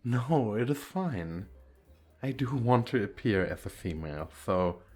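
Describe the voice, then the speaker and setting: Playful femboy flirt played by a man for ASMR roleplay: expressive swooping pitch, slow pace, coy, effeminate, teasing. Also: faint music behind. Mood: friendly, reassuring. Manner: lilting, effeminate, giggly, coy.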